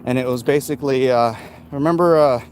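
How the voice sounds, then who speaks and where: A man in his forties chatting casually and haltingly as a livestream host while walking outside, talking into a handheld phone. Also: mid-range voice, a little mellow. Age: 40s. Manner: rambling, halting, breaking off to talk to people with him. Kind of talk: casual livestream chat while walking outdoors, reading viewer comments aloud. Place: outdoors, walking along a street. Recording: outdoor street noise on phone.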